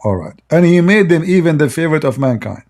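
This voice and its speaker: A man's voice: fairly high pitch, sing-song, fast talker, darkish tone. A man hosting a live online debate, talking emphatically with many fillers and repeated phrases. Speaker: debate host.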